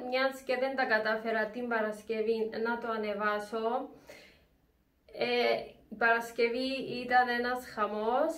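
A woman, chatting to camera and showing her groceries one by one in a casual home vlog. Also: on the high side. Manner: chatty, warm sign-off, item-by-item show and tell. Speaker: vlogger. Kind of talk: casual supermarket haul vlog. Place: bright room by a window, indoors.

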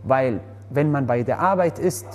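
A man's voice: medium pitch, expressive, a little slow, a little mellow. Young guy, earnest, some echo in a wooden room, faint hiss. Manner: earnest, slightly broken German with self-corrections. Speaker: interviewee, restaurant worker. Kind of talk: interview. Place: wooden mountain hut restaurant, indoors.

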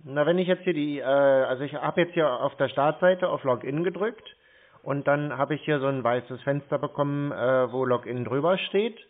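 Hesitant man on a tinny phone line. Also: mid-range voice. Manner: hesitant, slow-witted, rambling explanations. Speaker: caller posing as a confused customer. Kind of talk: casual phone conversation.

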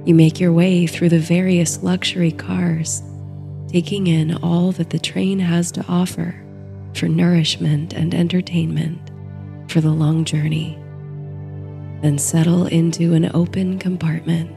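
Female sleep-story narrator: very slow, even and soft-edged, with mellow low-key tone over music. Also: creaky. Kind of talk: slow, soothing bedtime story and guided meditation narration.

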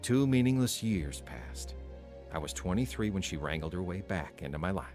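A troubled young man in a radio drama, wavering and unsure. His voice is low and very animated in its melody, with music playing underneath. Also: normal speed. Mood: rueful recounting. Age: young adult. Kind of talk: radio drama narration and dialogue.

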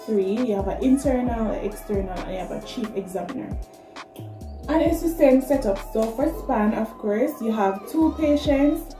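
A woman in her twenties with a Jamaican accent, chatting casually and directly to viewers as a vlogger. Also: fairly high pitch. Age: 20s. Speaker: vlogger, nursing student. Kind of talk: casual vlog talk to camera. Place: small plain room indoors. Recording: echoey room, music behind. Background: background music.

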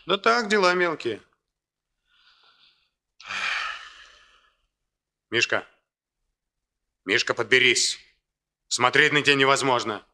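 An actor playing a brisk, streetwise young man in a radio play, commanding, colloquial and repeating his words. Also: medium pitch, sing-song, pushed and bright, full character voice. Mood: gruff concern. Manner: colloquial, rapid repetitions, commanding. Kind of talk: Soviet radio play acting.

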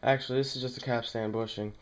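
Man, monotone delivery, clear smooth voice, casual how-to demonstration, halting plain speech, small room at home. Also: medium pitch. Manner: plain, halting, talking while working with his hands. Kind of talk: casual hands-on tutorial talk.

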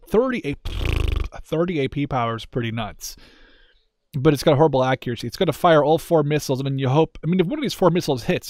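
A man's voice: medium pitch, dry recording, studio quality. A man hosting a gaming video with casual, rambling and self-deprecating commentary in an informal style.

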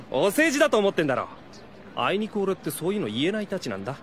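An actor playing a flustered, lovesick high school boy who stammers and mutters to himself in a Japanese audio drama. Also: wildly swooping pitch, brisk, fairly bright tone, a little hiss or hum, full character voice. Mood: eager to please. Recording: music under the voice.

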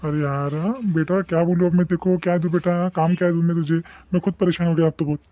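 A man voicing a kindly, exasperated snack-shop boss in an animated moral story, repeating himself in an avuncular way. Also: a little high, fast talker, natural voice. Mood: exasperated, generous. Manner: repetitive, avuncular, mixing Hindi and Marathi.

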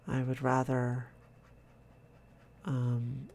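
A man quietly narrating his own drawing process, in a soft-spoken, calm way, thinking out loud. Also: mid-range voice, extremely slow, vocal fry. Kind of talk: casual relaxed commentary over drawing.

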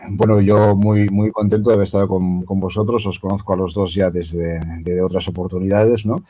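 A man on a video call, lecturing quickly and at length as a guest researcher. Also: low voice, little pitch movement, warm tone.

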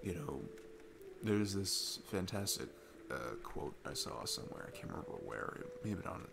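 A man's voice: low voice, big pitch swings, normal speed, gravelly, noisy background, full character voice. A young man playing an easygoing, earnest divorced dad on a date, chatting in a casual, rambling ASMR roleplay style. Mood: relaxed, musing. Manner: rambling, informal, chuckling often. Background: music.

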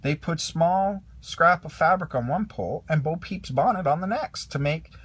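Husky man reading a storybook. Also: on the high side, animated, moderate pace, a bit bright. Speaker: storybook reader. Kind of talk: expressive children's read-along narration.

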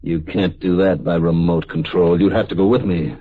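An actor playing a defiant, selfish, hot-tempered killer in a vintage American radio drama. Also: male voice, sits low, fairly even intonation, normal speed, husky. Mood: surly. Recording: dull, band-limited old radio recording.

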